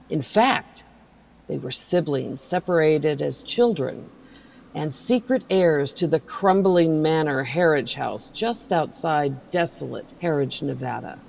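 A woman playing a composed, indulgent aunt who tells spooky bedtime stories in a measured way, in an audio drama. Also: low-pitched, gravelly, a little mellow, full character voice, rough recording. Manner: measured storytelling.

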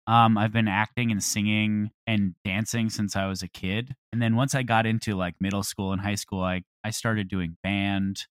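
A man's voice: fairly low pitch, level tone, moderate pace, hardly any pauses, smooth, no echo. A man who acts and writes, talking casually in an interview from home. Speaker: voice actor and writer.

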